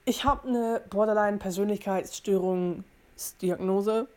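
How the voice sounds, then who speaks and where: A young woman from Germany, in her early twenties, speaks in a casual solo podcast style. Her voice sits at a middle pitch. She explains herself in an informal, reflective way, often circling back to put a point more precisely. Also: a little slow, darkish tone. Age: early 20s. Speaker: podcast host. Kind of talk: casual personal monologue to listeners.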